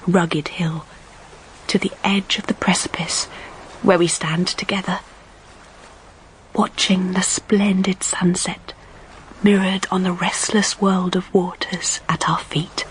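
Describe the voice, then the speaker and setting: An actress playing an earnest, idealistic young Victorian governess in a radio drama, speaking in a measured, heartfelt, literary way. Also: female voice, mid-range voice, flat delivery, a little hiss or hum, close to an everyday voice, low-quality recording. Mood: tender, rapt. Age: young adult. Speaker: actress and narrator of a period drama. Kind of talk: period radio drama acting and narration.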